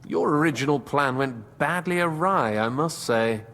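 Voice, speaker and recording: A man's voice: on the high side, expressive, normal speed, a bit bright. A man playing a shrewd, wry medieval nobleman with a theatrical manner in video game dialogue.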